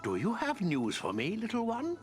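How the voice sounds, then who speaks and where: A man's voice: sits high, wildly swooping pitch, moderate pace, over music. A man playing a kindly, whimsical old wizard in a video game, with a chirpy, meandering way of talking. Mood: gentle, amused. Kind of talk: video game cutscene acting.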